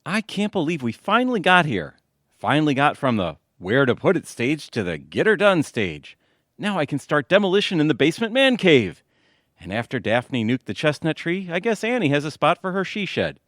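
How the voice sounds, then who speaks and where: A man's voice: on the high side, huge pitch swings, moderate pace, a bit bright, no echo, studio quality. A man playing an easygoing suburban husband in an audio comedy, breezy and pleased with himself.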